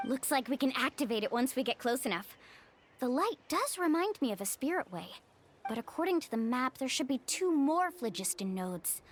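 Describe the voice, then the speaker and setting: Game heroine: upbeat young woman, high-pitched and lively, friendly and thoughtful. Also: normal speed, slight background noise. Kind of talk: scripted video game dialogue.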